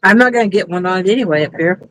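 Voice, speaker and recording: A woman chatting casually on a pontoon boat while fishing, easygoing and quick to laugh. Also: lowish voice, expressive, fairly bright tone, no room sound, poor audio. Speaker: fishing streamer. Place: outdoors on a pontoon boat on a lake.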